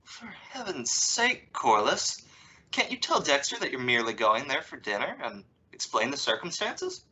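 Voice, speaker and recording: A woman's voice: a bass voice, very animated, moderate pace, sharp tone, breathy edge, exaggerated voice. A woman playing a wry, exasperated but loving middle-aged father in an old-time radio sitcom, with emphatic, sarcastic delivery. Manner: dry sarcasm with emphatic swoops. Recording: dull, lo-fi audio.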